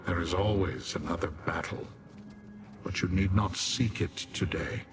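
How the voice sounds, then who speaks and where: An actor playing an honorable warrior in a fantasy video game, speaking in a formal, measured way. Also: male voice, low-pitched. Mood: reassuring. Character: honorable male warrior ally, formal, steady and reassuring. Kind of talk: video game character acting. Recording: music behind.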